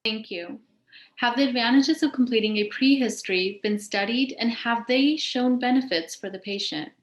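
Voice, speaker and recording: A woman's voice: on the high side, normal speed. A woman presenting as a show host, reading prepared questions and remarks in a scripted interview style. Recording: roomy, echoing sound.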